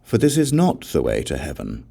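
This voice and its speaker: A man's voice: a bass voice, wildly swooping pitch, a little fast, gravelly, mellow, exaggerated voice. A man voicing a defiant outcast king who argues emphatically and rhetorically in a scripture audiobook. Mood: indignant reasoning. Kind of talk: dramatic audiobook reading of scripture.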